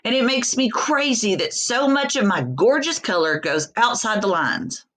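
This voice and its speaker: A woman's voice: a little high, lively intonation, normal speed, a bit bright. A woman voicing a fussy, very neat storybook crayon, insistent and exasperated.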